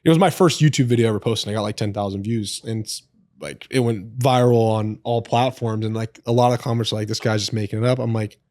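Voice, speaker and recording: A young man in a podcast chat, telling a long story in a rambling, animated way with lots of filler words. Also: on the low side, fast talker, dry recording, clean studio sound. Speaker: podcast guest. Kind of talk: casual storytelling.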